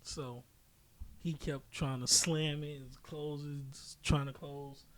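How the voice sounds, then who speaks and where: A man's voice: fairly high pitch, little pitch movement, slow pace, a crowd in the background. A man co-hosting a podcast, telling stories and bantering casually in slangy, profane language. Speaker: podcast co-host. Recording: clear background noise, clicks.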